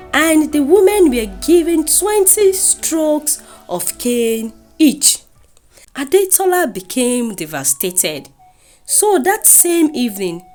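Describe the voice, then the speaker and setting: A woman's voice: a little high, a little mellow. A woman with a Nigerian accent narrating a scripted folktale in a dramatic storytelling style. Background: music.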